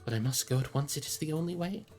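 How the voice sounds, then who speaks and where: A narrator plays a hobbit gentleman from a fantasy storybook. He is well-spoken and gentle yet resolute, pitched somewhat above the reader's narration, with music behind. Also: quick pace, natural voice. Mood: exasperated, resolute.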